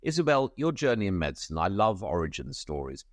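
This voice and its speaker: A man's voice: deep voice, big pitch swings, fairly quick, dry recording, studio quality. A man hosting a medical podcast, reading a formal guest introduction and then chatting with many small hesitations. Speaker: podcast host.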